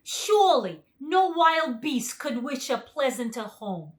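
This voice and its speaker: A woman's voice: high-pitched, very animated, takes it slowly, voice pushed up, exaggerated voice. A woman voicing a big, confident, cheerful male lion in a children's storybook, speaking emphatically. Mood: joyful.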